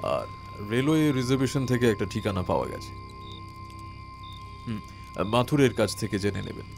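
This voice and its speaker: Radio mystery lead: composed male detective, lowish voice, measured, courteous, authoritative, music underneath. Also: creaky, darkish tone. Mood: businesslike. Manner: deliberate, polite, authoritative questioning and reasoning. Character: composed, probing male private detective on a case. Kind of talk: Bengali audio detective drama acting.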